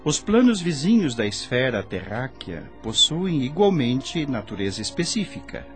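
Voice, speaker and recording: An actor with a Brazilian accent playing a kindly, earnest spirit guide in a radio drama, explaining things in a measured, reverent way. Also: male voice, medium pitch, big pitch swings, natural voice. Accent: Brazilian Portuguese. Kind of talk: Brazilian radio drama acting, didactic and declamatory. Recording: radio drama with music bed. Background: faint music.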